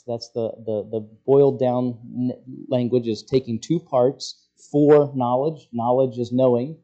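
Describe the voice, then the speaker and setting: A man preaching an expository sermon, working through scripture as a teacher would, often halting as he searches for words. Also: mid-range voice, mellow, highs cut off.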